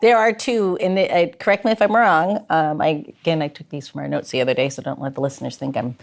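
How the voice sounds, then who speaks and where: A woman's voice: low-pitched, fairly even intonation, fast talker, gravelly. A woman hosting a podcast in casual, informal interview chat, with a self-deprecating way of talking. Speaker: podcast host.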